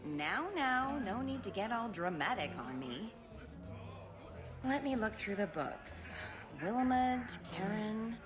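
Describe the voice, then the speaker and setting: A woman's voice: medium pitch, expressive, slow pace, over music. A woman playing a worldly, saucy brothel madam in a video game, with a wry, knowing, familiar manner.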